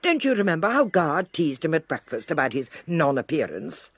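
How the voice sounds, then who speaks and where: An actress playing a self-assured older lady sleuth in a radio whodunit, with an upper-class English accent and a husky, deliberate, emphatic delivery. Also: sits low, sing-song, no echo, full character voice. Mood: amused, teasing. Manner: deliberate, emphatic, precise, teasing. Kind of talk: 1920s whodunit radio drama acting.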